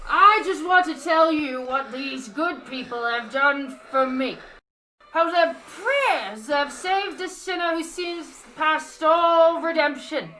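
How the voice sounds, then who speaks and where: A woman's voice: high voice, sharp tone, a little hiss or hum, exaggerated voice, poor audio. A woman acting a boozy, repentant sinner in a radio play, pleading melodramatically in a quavering voice. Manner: slow, melodramatic confessional pleading. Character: boozy, quavering repentant sinner giving tearful mission testimony.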